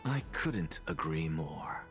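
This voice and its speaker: An actor playing a proud, aloof male scholar in a video game, with measured, erudite and dismissive delivery. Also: extremely low pitch, expressive, a bit bright, soft background music, exaggerated voice. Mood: aloof, scornful. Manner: haughty, measured, erudite diction. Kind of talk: scripted video game voice acting.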